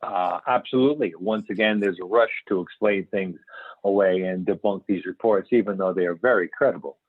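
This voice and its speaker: Guy reading a part as earnest ufo expert scientist, lowish voice, emphatic but halting, tinny phone line. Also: husky. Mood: firm, insistent. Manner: explanatory, emphatic, halting over words. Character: male expert scientist investigating UFO reports, earnest and assured. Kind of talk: amateur script reading with stumbles. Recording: tinny phone-like conference call, echoey room.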